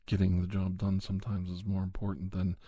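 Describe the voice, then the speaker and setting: Male ASMR podcast host, low husky voice, reflective and measured monologue delivery, close and dry. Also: completely flat delivery, dark tone, no room sound.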